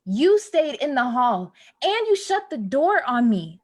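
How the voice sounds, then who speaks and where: A woman plays a wounded, anxious neighbor with a high voice. She pleads and reproaches earnestly, insisting on her point in halting, naturalistic stage dialogue. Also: sing-song, heavily acted. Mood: accusing, wounded.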